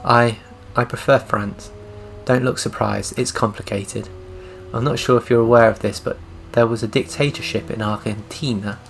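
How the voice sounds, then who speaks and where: Sad argentine lady in a taxi game, a guy reading her lines, kinda gravelly, faint music under it. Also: medium pitch, fairly even intonation, moderate pace, natural voice. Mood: wistful. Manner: reads her lines out plainly.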